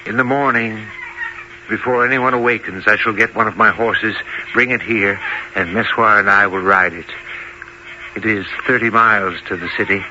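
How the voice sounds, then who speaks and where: An actor playing a weary, gentle middle-aged village father, earnest and plain-spoken, in old-time radio drama style. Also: lowish voice, fairly even intonation, normal speed, natural voice. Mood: determined. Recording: muffled, noisy old radio drama recording.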